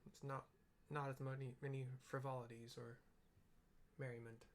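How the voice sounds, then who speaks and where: A man casually describing a video game's cutscenes as they play, in a relaxed way and often correcting himself. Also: medium pitch, normal speed. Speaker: let's play narrator. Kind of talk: casual commentary on a game.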